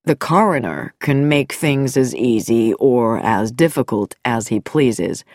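A woman reading an audiobook voices a smooth, calm, quietly mocking middle-aged male doctor in a lowered voice. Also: a little slow, dry recording, clean studio sound, heavily acted.

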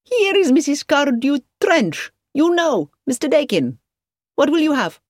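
A woman's voice: high voice, very animated, measured pace, no echo, studio quality, voice pushed up. A woman voicing an exuberant, hospitable foreign hotelkeeper in a storybook reading, effusive and emphatic. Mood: jovial, effusive.

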